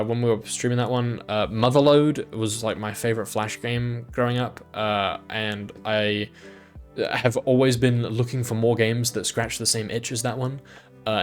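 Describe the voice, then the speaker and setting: Young adult man, mid pitch, casual rambling streamer, filler-heavy delivery, faint music bed. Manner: rambling, many ums and restarts. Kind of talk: casual livestream chat.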